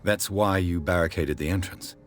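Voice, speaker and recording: An actor playing a composed, persistent private detective in a video game, speaking in a measured, probing, firm way. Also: male voice, sits low, a little hiss or hum, natural voice. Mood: calm, probing. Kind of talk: video game cutscene acting.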